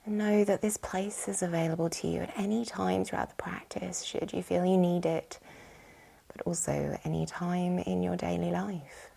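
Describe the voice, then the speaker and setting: A woman with a soft, breathy, whispery voice, soothingly guiding a yoga nidra relaxation with gentle instructions. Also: fairly low pitch, fairly even intonation, normal speed. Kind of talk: guided relaxation meditation.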